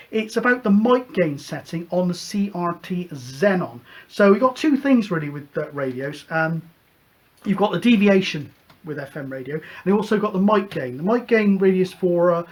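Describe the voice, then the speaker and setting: A man from the UK hosting a hobby show, explaining things casually in a chatty, rambling, hesitant way. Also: on the high side. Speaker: host of a CB radio show.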